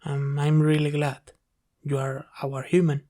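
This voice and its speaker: A man playing a gentle, caring sleep paralysis demon who soothes his human in a soft-spoken, reassuring ASMR roleplay. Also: fairly high pitch, monotone, a little slow, natural voice. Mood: tender, reassuring.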